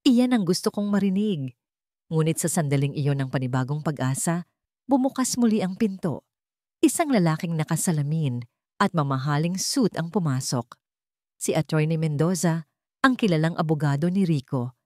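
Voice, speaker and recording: A woman's voice: deep voice, expressive, moderate pace, darkish tone, no echo, clean studio sound. A woman storyteller delivering dramatic scripted narration.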